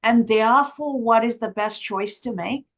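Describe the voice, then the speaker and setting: Woman from Sri Lanka, first language Tamil; earnest speaker at an academic session, asks rhetorical questions, voice on the high side. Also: normal speed, fairly bright tone. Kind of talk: lecture-style talk.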